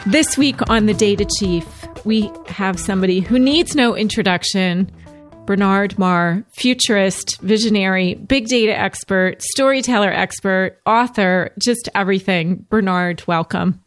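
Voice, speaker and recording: A woman hosting a podcast interview, friendly and quick to laugh. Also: medium pitch, faint music behind. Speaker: podcast host. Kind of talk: conversational interview.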